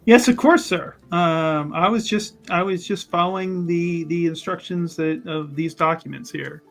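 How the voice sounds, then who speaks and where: A man's voice: fairly high pitch, a little slow, gravelly, fairly bright tone, faint music behind. A man voicing a slippery, deferential student in a tabletop game, polite, hesitant and placating.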